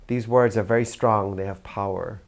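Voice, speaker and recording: Low-voiced older Irish man. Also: fairly even intonation, normal speed, vocal fry. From Ireland. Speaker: youth theatre practitioner. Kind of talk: reflective talk to camera.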